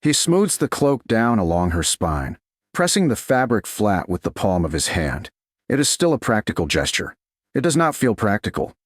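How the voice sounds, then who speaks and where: A man narrating a scripted romance story in a slow, dramatic storytelling style. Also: lowish voice, sing-song, no room sound, clean studio sound. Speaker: audiobook narrator.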